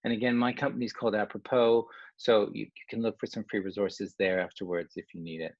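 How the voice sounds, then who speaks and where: A man's voice: lowish voice, fairly even intonation, fast, no echo, dull audio. A Canadian man, a coach, giving an online workshop presentation in a conversational way, with restarts and asides.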